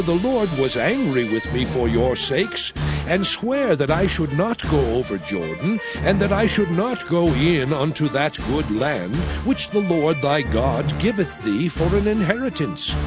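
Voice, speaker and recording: Solemn, declamatory prophet over music. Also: male voice, fairly high pitch. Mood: grave, resigned. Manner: solemn, declamatory, emphatic archaic oratory. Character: Moses, solemn aged prophet exhorting and warning his people. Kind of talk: dramatized scripture reading, sermon-like oratory.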